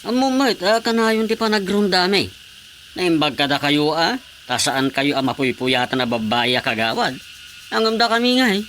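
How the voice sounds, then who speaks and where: A man's voice: fairly high pitch, lively intonation, normal speed, fairly bright tone, a little hiss or hum. A man playing a hapless village councilor on patrol in a comic radio drama, hesitant and full of excuses. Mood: defensive.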